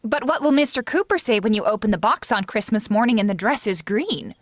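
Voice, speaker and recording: A frank, loyal housemaid from a vintage radio comedy, acted by a woman. Her voice is fairly high and lively, with wry reactions. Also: brisk. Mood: curious. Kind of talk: old-time radio comedy acting.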